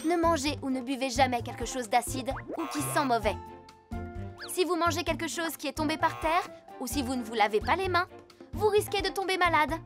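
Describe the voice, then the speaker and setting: A very high-pitched, kind ambulance character from a children's cartoon. She teaches young listeners in an instructive way, with clear music playing behind her. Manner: didactic, clearly addressing children. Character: female talking ambulance, kind instructive rescuer. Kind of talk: children's cartoon dub, safety lesson.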